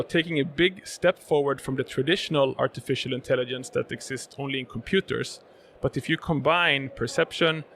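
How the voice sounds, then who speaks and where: Gravelly Swedish man, halting explanations. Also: mid-range voice, fairly bright tone, a little hiss or hum. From Sweden. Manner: many fillers, repeats words while explaining. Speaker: guest, robotics sales manager. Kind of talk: conversational interview answers. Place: live event podcast booth.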